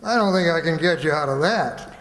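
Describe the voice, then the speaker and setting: A man's voice: on the high side, animated, brisk, a bit bright. A man playing a bumbling, shifty small-town lawyer in a radio comedy, stammering and rambling as he muddles his words. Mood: evasive, flustered. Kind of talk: old-time radio comedy acting on stage. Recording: echoey hall with long reverb.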